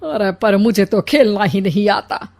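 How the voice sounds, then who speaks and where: A woman's voice: medium pitch, animated, measured pace, darkish tone. A woman voicing a jealous, bossy older mother-in-law in a comic cartoon story, complaining dramatically. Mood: complaining, plotting.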